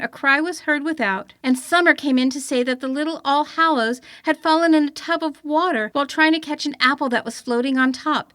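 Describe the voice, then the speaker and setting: A woman reading an old-fashioned children's story aloud in an expressive storytelling manner. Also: sits high, no room sound, clean studio sound. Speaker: storybook reader.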